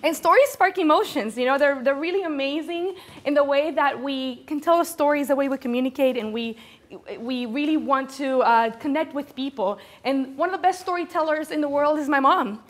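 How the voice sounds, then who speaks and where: A woman's voice: high voice, normal speed. A woman from Venezuela giving a motivational business talk to an audience, speaking with enthusiastic, conversational emphasis and repeating words to drive points home. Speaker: conference speaker, brand storyteller.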